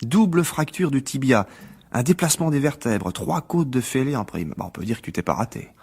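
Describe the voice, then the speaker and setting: An actor playing a smug, scheming blackmailer of a journalist in a radio mystery drama, insinuating and falsely polite. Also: male voice, mid-range voice, lively intonation, fairly quick, vocal fry, a little mellow, breathy edge. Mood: mock pitying.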